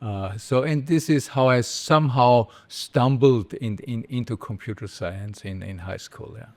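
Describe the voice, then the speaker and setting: Halting middle-aged Austrian man. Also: mid-range voice, darkish tone. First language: German. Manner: halting, many repeated words and restarts. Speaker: guest, computer vision professor. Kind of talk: casual interview.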